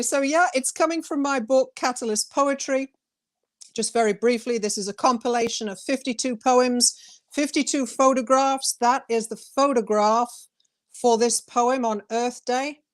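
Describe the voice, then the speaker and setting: A woman poet presenting and reading her own work as a guest, with deliberate, emphatic phrasing. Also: fairly high pitch. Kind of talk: poetry reading with brief informal introduction.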